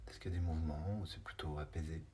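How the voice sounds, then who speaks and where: Low-pitched man guiding meditation. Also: fairly even intonation, dark tone, slight background noise. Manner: unhurried, hesitant, spaced-out short phrases. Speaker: meditation guide. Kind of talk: guided body scan meditation.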